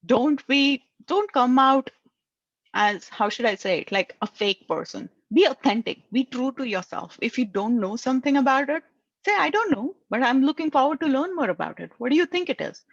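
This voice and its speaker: A woman's voice: high voice, animated, moderate pace, gravelly, no room sound. A woman with a thick Indian accent coaches job seekers, speaking English as her second language. She keeps checking in with "right?" as she goes. The sound is dry and somewhat muffled. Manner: earnest, tags lines with "right?". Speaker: career coach. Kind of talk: advice talk.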